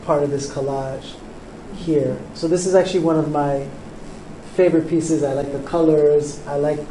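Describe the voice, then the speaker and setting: Soft-spoken New artist in a roomy studio. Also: male voice, fairly high pitch, steady tone. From Cuba, raised in New York. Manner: soft-spoken, unhurried, with frequent ums. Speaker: visual artist, interviewee. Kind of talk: relaxed interview answers. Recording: roomy studio, faint background noise.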